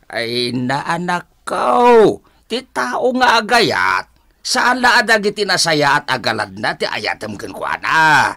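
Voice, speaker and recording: Picture a patient older father in a radio drama, acted by a man, speaking with a Filipino accent. His voice is bright and cutting, and it rises and falls widely as he counsels his grown son. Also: a little high, wildly swooping pitch, slow, gravelly, heavily acted. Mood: gently reproving. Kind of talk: Ilocano radio drama acting.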